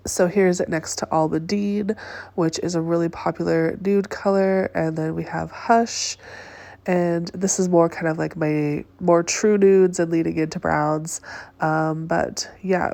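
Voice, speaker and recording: A woman's voice: lowish voice, creaky, a little mellow, no room sound. A woman nail artist with a stuffed-up nose, chatting through a casual voice-over review of nail polish colors, with many ums and likes. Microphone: close voice-over mic.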